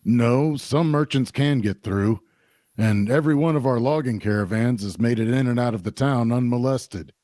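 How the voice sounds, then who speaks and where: A man's voice: medium pitch, moderate pace, dark tone, no echo, studio quality, lightly acted. Folksy small-town barkeep played by a man. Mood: polite, obliging. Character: plain-spoken, obliging male small-town barkeep. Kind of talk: audio drama table-read dialogue.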